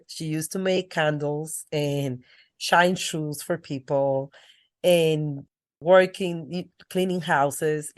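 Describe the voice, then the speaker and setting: Brief: lower-pitched middle-aged woman, earnest storyteller on a podcast. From Dominican Republic. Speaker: guest. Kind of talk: podcast interview.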